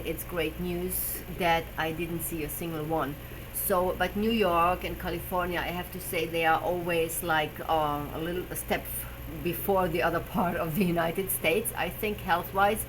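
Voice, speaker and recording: A woman's voice: on the low side, steady tone, measured pace, noisy background. A woman with a German accent, hosting a live stream as a personal trainer, chatting about health with frequent fillers while searching for English words. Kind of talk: casual live stream talk.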